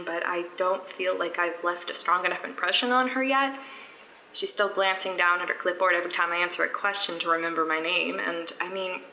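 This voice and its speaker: An actress playing an earnest, anxious young female medical intern in an audio drama diary, rambling and self-conscious with halting phrases. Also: medium pitch, pushed and bright, roomy sound, a little hiss or hum, poor audio. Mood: self-conscious, hesitant. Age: young adult. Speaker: actor.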